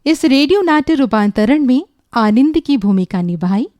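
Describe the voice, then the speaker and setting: A woman announces on radio from a script, in formal literary phrasing. Her voice is fairly high and mellow, recorded dry and close. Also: lively intonation, moderate pace, dry recording.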